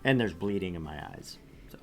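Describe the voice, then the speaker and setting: A man's voice: sits low, expressive, a little fast, fairly bright tone. A young man co-hosting a casual let's-play stream, laid-back and joking at his own expense. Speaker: game streamer co-host.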